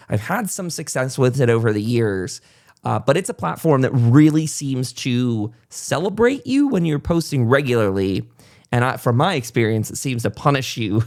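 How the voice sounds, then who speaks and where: A man's voice: mid-range voice, vocal fry, a little mellow. A man hosting a casual interview podcast, talking in a rambling, reflective way as he thinks aloud. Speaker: podcast host and content creator.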